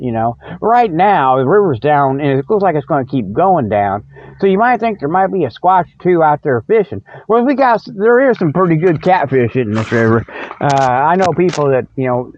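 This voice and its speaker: A man's voice: fairly high pitch, lively intonation, moderate pace, dry recording. A middle-aged man hosting a rambling comic radio monologue, folksy and repetitive, often checking in with an okay. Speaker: radio show host. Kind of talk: rambling comedic monologue with spoof ads. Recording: muffled, phone-like radio sound.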